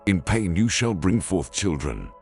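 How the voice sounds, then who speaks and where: A man's voice: deep voice, big pitch swings, unhurried, full character voice. A man playing God as a stern, solemn and authoritative judge in a dramatized Bible reading. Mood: solemn condemning. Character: God, stern commanding male judge pronouncing curses. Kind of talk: dramatized Bible audio acting. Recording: background music under the voice.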